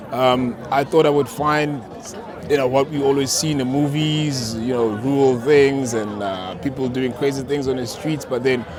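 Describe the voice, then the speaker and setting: A man answering casually and informally in a street interview. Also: mid-range voice. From South Africa. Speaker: interviewee, foreign resident. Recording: outdoor street with crowd chatter. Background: urban crowd.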